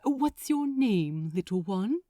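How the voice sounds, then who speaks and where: A woman's voice: medium pitch, sing-song, slow, warm tone, no room sound, clean studio sound. A woman voicing a kindly, genteel male angel who speaks in a polite, fond, coaxing way in a storybook reading. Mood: kindly, delighted.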